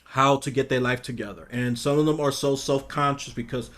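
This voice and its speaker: A man's voice: mid-range voice, fairly even intonation, fairly quick, fairly bright tone. A man talking casually as a podcast guest, blunt and outspoken, rambling with frequent restarts. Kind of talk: casual conversation.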